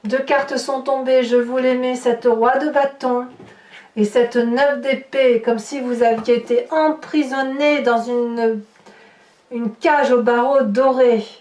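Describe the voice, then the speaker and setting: High-voiced woman in an echoey room. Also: fairly even intonation, slow pace, a little mellow. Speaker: tarot reader hosting a horoscope channel. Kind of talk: informal tarot reading narrated to viewers. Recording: roomy echo, distant microphone.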